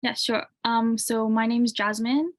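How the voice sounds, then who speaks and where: A woman's voice: fairly high pitch, unhurried. Young woman student, chatty with fillers. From UK, grew up in Tanzania. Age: 20s. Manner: relaxed, many "like" and "um" fillers. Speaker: university student guest. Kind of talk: casual interview answers.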